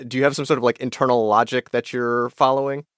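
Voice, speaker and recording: A man's voice: medium pitch, little pitch movement, fast, fairly bright tone, clean tone, no room sound. Guy podcast host, mid-range voice, very dry studio sound, does narration and questions. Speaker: radio podcast host and interviewer. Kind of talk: scripted narration and conversational interviewing.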